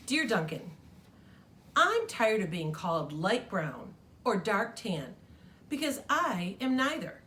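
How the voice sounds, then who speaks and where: A woman's voice: medium pitch, very animated, takes it slowly, a bit bright. A woman reading the letter of a proud but slighted beige crayon from a storybook, in an indignant tone.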